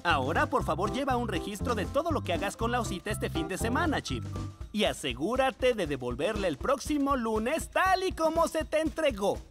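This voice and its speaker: A gentle cartoon teacher, leading her young class with encouragement. Her pitch moves a lot and stays lively, and children's music plays underneath. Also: normal speed. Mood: encouraging. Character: kind adult teacher leading class show-and-tell. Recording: music bed behind voice.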